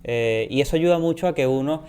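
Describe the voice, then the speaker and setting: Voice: mid-range voice, a little fast, darkish tone, slight background noise. A podcast co-host chatting casually with a guest, often chiming in with short affirmations. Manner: frequent short affirmations, friendly back-channeling. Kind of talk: casual conversational interview.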